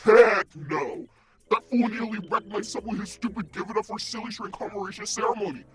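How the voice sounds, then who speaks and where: A man's voice: sits high, brisk, music in the background, lightly acted. A man playing an easily outraged comic supervillain in a radio play, ranting in exasperated disbelief. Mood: indignant. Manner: ranting, incredulous. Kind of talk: comedic radio play acting.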